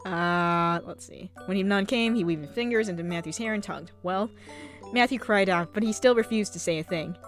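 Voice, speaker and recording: A woman's voice: medium pitch, moderate pace. A giggly, flustered woman streamer reading her own fan fiction aloud, stumbling over words and breaking into chatty asides. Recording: music box music behind.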